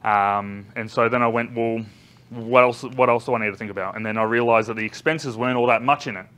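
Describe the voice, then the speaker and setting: Australian male trainer, 30s, loud, rambling storyteller with lots of ums, speaking live in a conference room, caught on a far camera. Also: medium pitch, steady tone, fairly quick. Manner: loud, chatty storytelling with many ums and restarts. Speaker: business trainer. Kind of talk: live talk to a seated audience. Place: large conference room indoors. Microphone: far camera, no visible mic.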